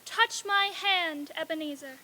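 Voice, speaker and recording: A woman playing a solemn, commanding spirit in a radio-play reading, deliberate and otherworldly. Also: very high voice, bright, forceful tone, exaggerated voice. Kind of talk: old-time radio stage reading.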